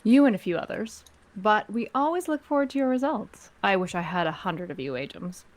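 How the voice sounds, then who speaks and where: A woman playing a cool, commanding crime-syndicate agent in a script table read, speaking in a measured, formal way. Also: medium pitch, expressive, no echo, natural voice. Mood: cool, businesslike.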